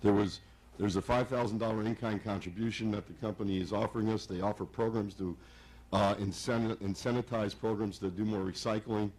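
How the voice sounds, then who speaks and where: A hoarse man speaking haltingly at a village commission meeting, often repeating words. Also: lowish voice, steady tone, darkish tone. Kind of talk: public meeting remarks.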